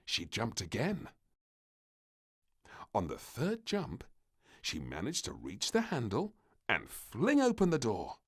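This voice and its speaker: A man reading a children's story aloud as an expressive storybook narrator. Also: medium pitch, slow pace, pauses often, slightly rough.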